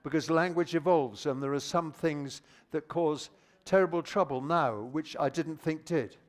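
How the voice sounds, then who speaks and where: A fairly high-voiced older man speaks into a handheld microphone to a teachers' audience. He is lively and informal. He often stumbles and restarts as he echoes listeners' comments. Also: moderate pace. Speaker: plenary speaker on teaching methodology. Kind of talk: live conference talk with audience discussion.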